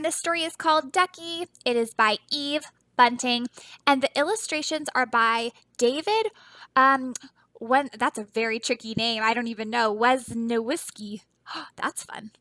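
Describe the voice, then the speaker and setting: A woman teacher reading a picture book aloud to children, animated and dramatic, with explanatory asides and questions to the listeners. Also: on the high side, dry recording.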